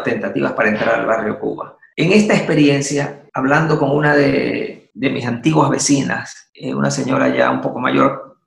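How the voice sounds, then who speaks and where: A man's voice: mid-range voice, gravelly, reverberant, rough recording. A man from Ecuador, an artist and university teacher, speaking as an interview guest in a thoughtful, hesitant way with many restarts. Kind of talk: interview guest, reflective storytelling.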